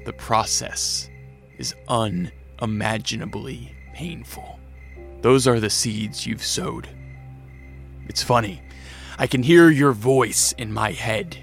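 An actor playing a weary, protective vampire count in a radio drama, speaking in a measured, halting, understated way. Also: male voice, fairly low pitch, vocal fry, fairly bright tone, full character voice. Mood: cold, bitter.

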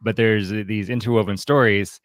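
Low-voiced man thinking aloud, halting. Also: fairly even intonation, no room sound, clean studio sound. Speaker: podcast co-host and writer. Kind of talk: casual literary discussion.

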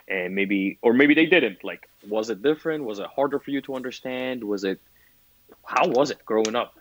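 A man's voice: mid-range voice, normal speed, a bit bright, slight background noise, low-quality recording. A man from Egypt appearing as a podcast guest, chatting casually and eagerly asking questions. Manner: curious, eager, full of questions. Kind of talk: casual conversation.

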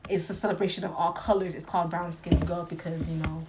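A woman's voice: on the low side, steady tone, fast, a crowd in the background. A woman in a casual, opinionated chat, talking emphatically and conversationally with plenty of slang. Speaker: co-host or panelist.

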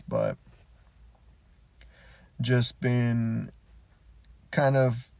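A man's voice: medium pitch, gravelly, a little mellow, slightly rough, no room sound. A man hosting a casual solo podcast from his parked car, a native Spanish speaker, talking in a rambling, laid-back way with frequent restarts. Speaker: podcast host.

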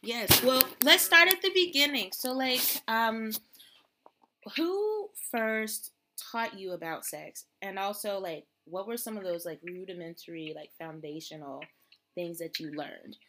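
A woman's voice: medium pitch, a little slow, pushed and bright, slight background noise. A woman podcast host chatting casually in an informal, enthusiastic way. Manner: informal, enthusiastic, frequent y'all.

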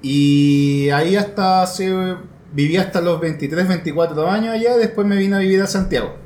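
A man's voice: sits high, normal speed, a little mellow. A Chilean man hosting a casual podcast, chatting in loose slangy banter with laughs. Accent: Chilean Spanish. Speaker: podcast host. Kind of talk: casual chat. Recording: echoey room with faint noise.

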